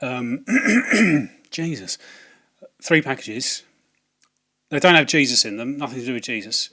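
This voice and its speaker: A slightly hoarse man, talking casually to camera about video game purchases, hesitant with many ums and restarts. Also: mid-range voice, animated, a little slow, pushed and bright. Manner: hesitant, lots of ums and restarts, wry asides. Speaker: video game collecting vlogger. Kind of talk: casual rambling vlog to camera.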